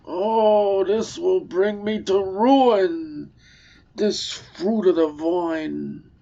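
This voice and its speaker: A weary old storybook camel, played by a woman, full of wounded pride and self-pity. The voice is dark and crackles with fry, and each phrase drags out very slowly. The home recording is a little muffled. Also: on the low side, full character voice. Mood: anguished. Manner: slow, drawn-out, dramatic delivery.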